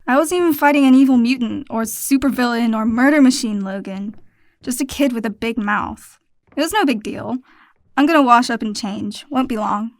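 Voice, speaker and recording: A woman's voice: fairly high pitch, moderate pace, no echo, clean studio sound, natural voice. A woman playing a spirited, stubborn teenage girl superhero with a breezy, sarcastic, casual way of talking. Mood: downplaying.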